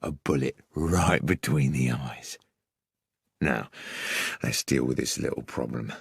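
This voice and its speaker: Guy doing a gruff old-time london villain in an audiobook, deep raspy menace, callous, dry close sound. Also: slow, no room sound, full character voice. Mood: menacing, boastful. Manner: coarse, threatening, callous. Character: gruff, menacing, boastful male murderer, Victorian London thug. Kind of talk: audiobook character acting.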